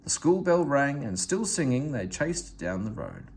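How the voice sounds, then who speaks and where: Man, storybook narrator, expressive read-aloud, swooping intonation, faint noise. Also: medium pitch, a little slow. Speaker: storybook reader.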